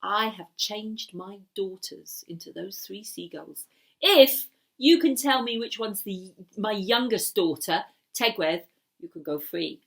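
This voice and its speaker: A woman voicing an angry, scheming male wizard in a storytelling session, with stern, emphatic, drawn-out commands. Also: mid-range voice, lively intonation, vocal fry. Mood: commanding.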